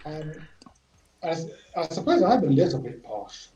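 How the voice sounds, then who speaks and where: Guest, male, southern English accent, fairly high yet mellow voice, hesitant self-mocking delivery, roomy echo. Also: very animated, moderate pace, distant mic. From south-east England. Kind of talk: casual chat.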